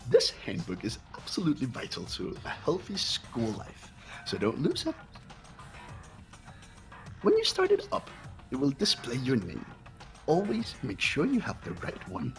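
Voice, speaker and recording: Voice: medium pitch, wildly swooping pitch, unhurried, creaky, pauses often, soft background music, full character voice. A performer voicing a gleefully sadistic robot teddy-bear headmaster in a fan game dub, theatrical and mock-polite. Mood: chirpy salesmanship. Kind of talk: fan voice acting of a video game.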